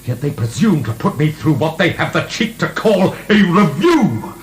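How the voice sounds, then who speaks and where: A defiant imprisoned marquis in a radio play, swinging widely in pitch with theatrical, sneering intensity. He is a morbid, contemptuous philosopher, heard on a hissy archive recording. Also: big pitch swings, moderate pace. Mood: scornful, gleeful. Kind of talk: radio drama acting.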